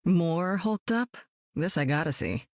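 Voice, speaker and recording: A seasoned woman superspy with a cool, wry, self-assured delivery. Her voice sits a little low and is recorded close and dry, with no room around it. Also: lively intonation, a little slow, no room sound. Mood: warm, amused. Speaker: game hero. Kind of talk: video game voice lines.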